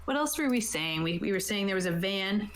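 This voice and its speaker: A woman's voice: medium pitch, expressive, brisk. A woman talking casually as a game master, explaining rules and options to players. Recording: roomy echo, light background noise.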